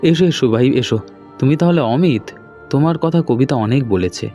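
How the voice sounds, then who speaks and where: A hospitable young village husband in a cartoon, voiced by a man. He talks in a hearty, insistent, conversational way at a medium pitch. Light music plays underneath. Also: lively intonation, normal speed, mellow, lightly acted. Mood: welcoming, insistent. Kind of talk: cartoon dialogue acting. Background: background music.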